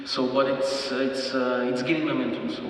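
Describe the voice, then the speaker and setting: A man with Russian as his first language, a journalist speaking as a panel guest, halting and full of restarts. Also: medium pitch, flat delivery, slight background noise. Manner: halting, many restarts and fillers. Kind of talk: panel discussion. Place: large event hall. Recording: echoey hall from far off.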